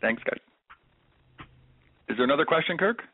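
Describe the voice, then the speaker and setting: A man's voice: a little high, lively intonation, measured pace, breathy edge. A man hosting a call-in discussion, chatty, with lots of fillers and restarts. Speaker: host of a call-in webinar. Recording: phone-line audio.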